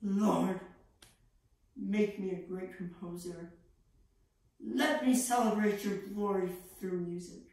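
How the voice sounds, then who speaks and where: A woman's voice: mid-range voice, steady tone, takes it slowly, long pauses, a little mellow. A teenage woman playing a guilt-ridden, bitter old male Italian composer, theatrical, pleading and declaiming. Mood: fervent, reverent. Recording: echoey room, distant microphone.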